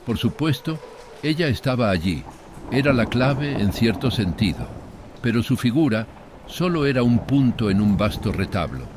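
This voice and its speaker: A man's voice: medium pitch, sing-song, normal speed, noisy background. A man narrating a horror story in a dramatic first-person audiobook style.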